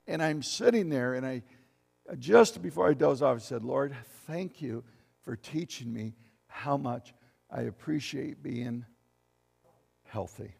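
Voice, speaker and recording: Man, 70s, pastor, gravelly voice, preaching to a congregation, church hall echo. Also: medium pitch, lively intonation, a little slow, pauses often, a little mellow. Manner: reads scripture aloud, asks the congregation questions. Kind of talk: sermon. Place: church sanctuary.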